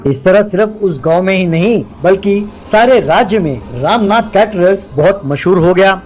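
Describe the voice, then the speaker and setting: A man's voice: high-pitched, lively intonation, moderate pace, darkish tone, soft background music. A man narrating a scripted moral story for a cartoon.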